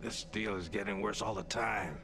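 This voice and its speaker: A man's voice: lowish voice, normal speed, lightly acted. A man playing an exasperated rogue pilot in a game cutscene, urgent and put-upon. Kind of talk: video game cutscene acting.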